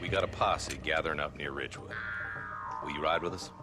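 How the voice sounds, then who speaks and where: A man's voice: rumbling low, brisk. An actor playing a blunt, commanding frontier lawman in a Western game. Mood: grim, urgent. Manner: blunt, authoritative. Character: gruff, commanding frontier marshal rallying a posse. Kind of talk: Western game cutscene acting. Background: loud music.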